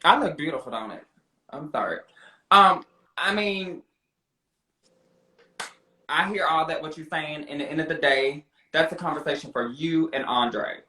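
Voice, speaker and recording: Sassy American man, bright and roomy. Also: on the high side, animated, measured pace, pauses often. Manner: sassy, defensive, slangy. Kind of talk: casual confrontational chat. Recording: roomy echo on voice.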